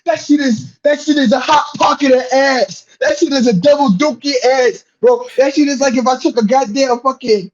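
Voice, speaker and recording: A man's voice: extremely high pitch, moderate pace, few pauses. A man in a casual podcast chat, boisterous and slangy, swearing heavily and repeating himself for emphasis. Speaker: podcast guest. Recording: dull lo-fi microphone.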